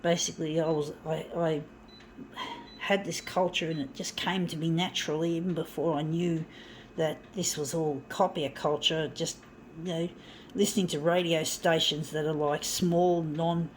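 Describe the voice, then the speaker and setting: A middle-aged woman talks in a casual, meandering way, full of ums and restarts. Her voice sits on the low side. A faint hiss of noise runs under the recording. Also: steady tone, moderate pace, rough recording. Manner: rambling, many fillers and restarts. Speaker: zine and mixtape maker. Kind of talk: casual reminiscing while working.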